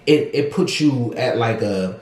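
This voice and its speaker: A man's voice: mid-range voice, expressive, a little slow, a little mellow. Chill guy in his 30s, voice bounces around a lot, echoey room. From Washington, D.C. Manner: laid-back, playful slang, reflective. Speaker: vlog co-host. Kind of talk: casual couple chat.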